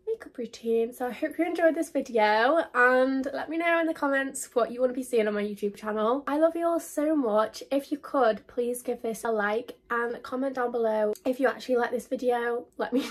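Chatty, husky young English woman. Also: a little high. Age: 20s. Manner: chatty, gushing, lots of likes and literallys. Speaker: beauty vlogger. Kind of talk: chatty get-to-know-me vlog.

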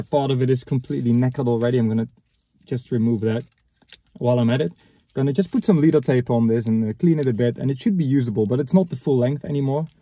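A man in his thirties with a mellow, somewhat husky voice talks casually inside a car. He sounds matter-of-fact and halting, thinking aloud as he comments on things in his hands. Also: medium pitch. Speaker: vlogger reviewing secondhand reel-to-reel tapes. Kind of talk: casual unscripted chat, commenting on items as he handles them.

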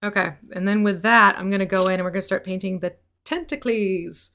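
A young woman teaching miniature painting on a livestream, explaining each step in a relaxed, easy way from home. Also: medium pitch, a little fast. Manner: relaxed explaining, laughs easily. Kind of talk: casual livestream tutorial. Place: indoor home room.

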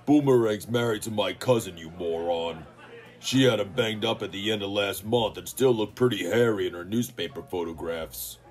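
Skeptical male heavy played by a man, deep and gruffly dismissive, comic-book dub over faint music. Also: normal speed, darkish tone, natural voice. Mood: irritated. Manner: blunt, contradicting. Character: skeptical, surly male henchman thug.

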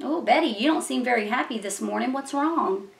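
A woman's voice: on the high side, animated, brisk, gravelly. A middle-aged woman hosting a children's puppet show, telling Bible stories in a simple, explanatory way. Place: small decorated room indoors. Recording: echoey room.

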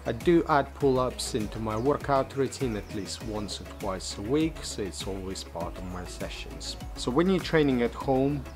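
A man's voice: medium pitch, lively intonation, normal speed, few pauses, over music. Man giving practical home-gym tips. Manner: plain, practical recommendations with non-native grammar. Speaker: fitness vlogger. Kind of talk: casual instructional talk to camera. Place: home room and garden.

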